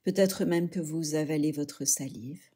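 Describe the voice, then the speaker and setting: A woman leading a guided yoga relaxation, speaking softly and soothingly in short phrases with pauses. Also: sits low, slightly rough. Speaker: yoga nidra instructor.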